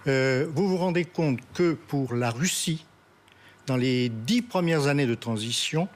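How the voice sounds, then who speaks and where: A man giving unscripted political commentary as a guest analyst, halting and repeating himself as he searches for words. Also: medium pitch.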